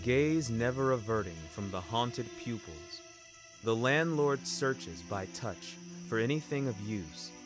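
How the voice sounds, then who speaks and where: A man narrating scripted dramatic fiction in a wry, literary manner. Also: mid-range voice. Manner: wry, literary, deliberate phrasing. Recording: music bed behind narration.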